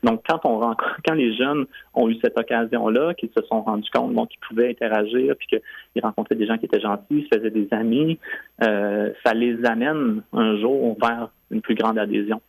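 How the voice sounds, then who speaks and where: A man with a Quebec French accent giving measured, step-by-step explanations as a guest in a radio interview. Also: mid-range voice, steady tone, creaky, slightly rough, no echo, poor audio. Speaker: guest, French-language commissioner.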